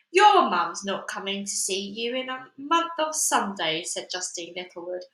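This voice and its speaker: A woman reads a children's book aloud with lively expression and chatty asides. Her voice is bright and sits a little high. The sound is echoey, as if recorded in a bare room. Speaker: storybook reader.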